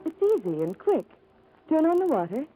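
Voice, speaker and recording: A dainty young sweetheart in an old radio ad. Her high voice lilts expressively and sweetly over loud music. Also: sing-song, moderate pace, low-quality recording. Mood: sweet, dreamy. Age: young adult. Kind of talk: 1940s radio ad acting.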